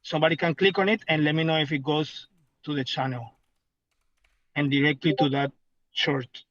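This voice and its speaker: A man's voice: on the high side, fairly even intonation, moderate pace, a bit bright, no room sound. A man in a video call, explaining how to share a link in a hesitant, repetitive way. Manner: hesitant, repeats himself. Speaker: community member. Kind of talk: casual call chat. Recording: dull lo-fi call audio.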